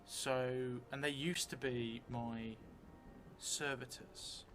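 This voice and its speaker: Slow, musing man, noisy background. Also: mid-range voice. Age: middle-aged. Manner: hesitant, musing, with long pauses. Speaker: solo role-player and streamer. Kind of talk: improvised solo tabletop game narration, thinking aloud. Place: indoor theatre room, seated at a table. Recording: clear steady background noise.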